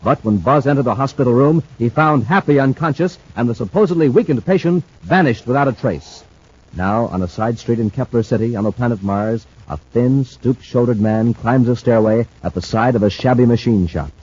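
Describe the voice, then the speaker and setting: A man's voice: medium pitch, a little fast, darkish tone, slightly rough. A man announcing a 1950s radio serial with scripted, dramatic and emphatic delivery. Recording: muffled old-time radio recording.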